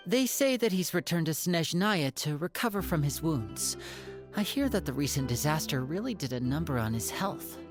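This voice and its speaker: Calm polite young magician gal in a game, low voice but lively melody. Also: female voice, normal speed, over music. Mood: calm, informative. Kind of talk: video game voice acting.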